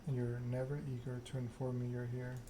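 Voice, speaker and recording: A man voicing a proud, scheming vampire in a measured, self-important monologue, read aloud in an ASMR style from a game. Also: medium pitch, completely flat delivery, background noise, close to an everyday voice. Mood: smooth, persuasive. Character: proud, scheming vampire aristocrat telling their story.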